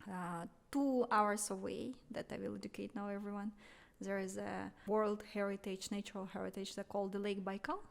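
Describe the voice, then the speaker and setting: A woman's voice: medium pitch, fairly even intonation, moderate pace, gravelly, few pauses. A woman in her thirties from Russia, speaking English with a Russian accent as an interview guest, earnest and emphatic with light laughs. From Russia (Siberia). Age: 30s. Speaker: podcast guest, marketing entrepreneur. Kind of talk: conversational interview.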